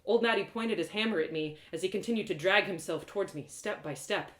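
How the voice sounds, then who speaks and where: A woman reading a first-person fantasy story aloud in a dramatic, expressive storytelling manner. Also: medium pitch, a little fast. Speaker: storyteller, author reading live. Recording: roomy echoing sound.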